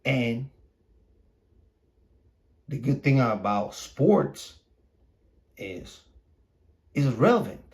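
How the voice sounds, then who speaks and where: A man who is a native Spanish speaker hosting a podcast, delivering an opinionated casual monologue in a blunt, profane, repetitive and halting manner. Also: mid-range voice, very animated, darkish tone. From Puerto Rico. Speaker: podcast host.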